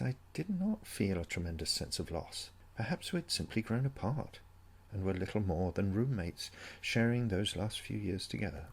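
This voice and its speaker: A man narrating a first-person story from a script in a measured, literary way. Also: on the low side, gravelly, husky.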